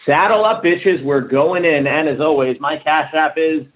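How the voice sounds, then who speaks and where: A cocky young slacker streamer, played by a man with a fairly high voice. He brags in loose slang at a script read, and the sound is narrow and slightly tinny. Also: moderate pace, lightly acted. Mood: hyped. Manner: slangy, boastful hype. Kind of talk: table read of a screenplay.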